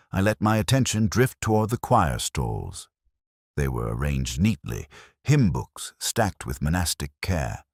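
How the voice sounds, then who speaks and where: A man reading first-person detective fiction in a measured, literary, brooding way, with a gravelly, husky voice. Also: rumbling low, no echo, clean studio sound. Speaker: narrator of a detective story. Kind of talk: first-person scripted mystery narration.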